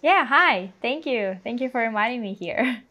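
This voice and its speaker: A woman's voice: a little high, lively intonation, moderate pace, bright, forceful tone. A woman in her twenties, a non-native English speaker, chatting casually as an interview guest and explaining things informally. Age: 20s. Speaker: guest, English teaching video creator.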